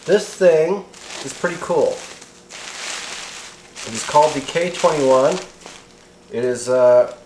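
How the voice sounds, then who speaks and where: A middle-aged man talks through a hands-on gear review in a chatty, rambling way. His medium-pitched voice sounds keen and informal. The room adds clear echo, with a faint layer of noise underneath. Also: a little mellow. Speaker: radio hobbyist reviewer. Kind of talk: casual unboxing review.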